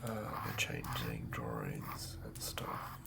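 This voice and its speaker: A man giving a whispered, improvised ASMR narration as a game master, close to the mic, murmuring in a halting way as he thinks aloud. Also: very deep voice, huge pitch swings, vocal fry.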